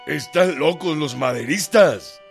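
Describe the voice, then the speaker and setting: An actor playing a proud, embittered Mexican revolutionary in a radio drama, blunt and defiant. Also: male voice, fairly high pitch, expressive, slow pace, creaky, faint music behind, exaggerated voice. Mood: scornful, firm.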